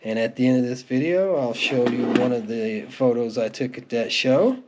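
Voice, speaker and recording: Clear background noise sits under the voice of a middle-aged man. He talks at an average male pitch in an unscripted, conversational way. It is a casual show-and-tell, full of easy reminiscing. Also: moderate pace. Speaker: music collector presenting their collection. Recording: clearly noisy home recording with clicks.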